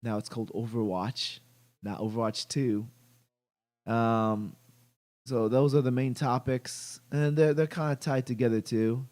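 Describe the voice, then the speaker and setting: A young man hosts a casual gaming livestream with a blocked, stuffy nose. He talks at an unhurried, plodding pace in a mid-range voice and stumbles through many fillers and restarts. Now and then he breaks off to greet chat viewers. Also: medium pitch, steady tone, darkish tone. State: stuffy-nosed from allergies. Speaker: gaming channel host.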